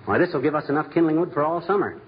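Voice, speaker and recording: Man playing a high-voiced, blustering sitcom husband. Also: fast talker, lightly acted. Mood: brash, grumbling. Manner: brash, exclamatory, slangy. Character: blustering, wisecracking husband, excitable and stubborn. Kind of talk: 1940s radio comedy acting. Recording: noisy, muffled old radio recording, studio audience.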